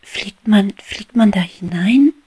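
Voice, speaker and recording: A woman voicing an eager, bold young female bee in a storybook reading, speaking in an excited half-whisper that rises louder. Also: mid-range voice, slow, slightly rough, voice pushed up, exaggerated voice. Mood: thrilled. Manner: excited soft whisper turning loud.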